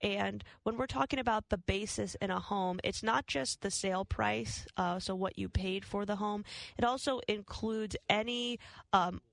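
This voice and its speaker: A woman's voice: medium pitch, normal speed, vocal fry, dry recording, poor audio. A woman hosting a financial advice show, explaining money matters in a conversational style.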